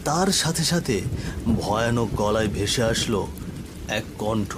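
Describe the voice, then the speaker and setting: A man's voice: lowish voice, animated, unhurried. Male cartoon narrator over storm noise. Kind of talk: scripted story narration for a cartoon.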